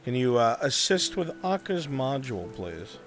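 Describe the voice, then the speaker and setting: A man with a medium-pitched voice runs an online roleplay as game master. He is informal and directive, swearing in casual asides. Music and rain sounds play behind him. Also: very animated, normal speed, fairly bright tone. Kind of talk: running a live online roleplay session.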